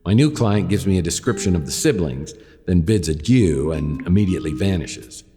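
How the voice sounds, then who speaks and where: An actor playing a wry, world-weary private detective in a hardboiled radio drama, narrating with deadpan, self-deprecating wit. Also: male voice, sits low, moderate pace, in a large hall, faint music behind. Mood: sardonic.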